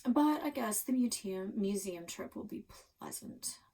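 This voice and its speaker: Woman playing a scheming, dramatic teen girl, animated pitch. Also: a little slow, gravelly, recorded from a distance. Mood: wistful. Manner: theatrical, casual teen phrasing. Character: scheming teenage girl, dramatic, wheedling and falsely resigned.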